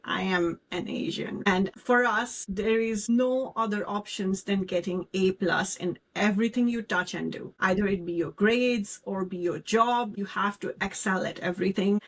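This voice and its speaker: A young adult woman from Nepal co-hosting a casual podcast, talking earnestly and candidly about her worries. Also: mid-range voice. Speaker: podcast co-host.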